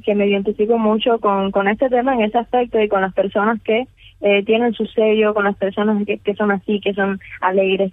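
A woman's voice: mid-range voice, dry recording. Cuban woman on a tinny phone line. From Cuba. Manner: chatty, frequent hesitations and fillers. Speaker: guest singer. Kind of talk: phone interview on radio.

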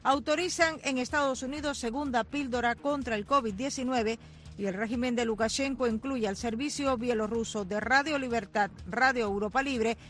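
A radio news anchor reading the headlines and sign-off in a formal, even tone. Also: medium pitch, normal speed. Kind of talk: radio newscast.

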